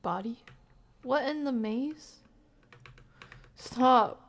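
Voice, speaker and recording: A woman's voice: a little high, drawn-out words. A woman doing casual live game commentary as a streamer, jokey and slangy, with mild exclamations in place of swearing.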